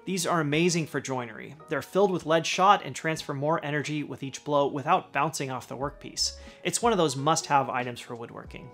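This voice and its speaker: A middle-aged man with a slightly raised pitch talks through a list of workshop tools. Each item gets an upbeat lift, then his voice settles as he finishes the thought. The light music underneath keeps it feeling like a produced channel segment. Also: a bit bright. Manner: upbeat list-style delivery, dropping at the end of each item. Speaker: woodworking channel host. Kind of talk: scripted product rundown. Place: home garage workshop.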